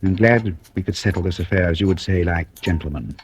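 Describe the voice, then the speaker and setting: An actor playing a suave, mocking, cold-blooded British blackmailer in an old-time radio thriller, speaking with urbane deliberation. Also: male voice, sits low, a little fast, husky. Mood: smooth, businesslike. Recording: noisy vintage radio recording with clicks.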